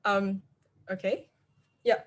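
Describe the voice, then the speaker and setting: A woman's voice: mid-range voice, level tone, takes it slowly. A woman moderating an online video call, hesitant and full of fillers as she introduces speakers. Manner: hesitant, many fillers, unsure of name pronunciation. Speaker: conference moderator.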